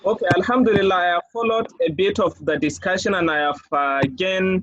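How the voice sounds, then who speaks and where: A man from South Africa calling in to a lecture with a question, courteous and halting. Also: high-pitched, animated, fairly bright tone, no room sound. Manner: courteous, halting, religious greetings. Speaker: caller. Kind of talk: asking a question on an online call. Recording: dry, muffled call audio.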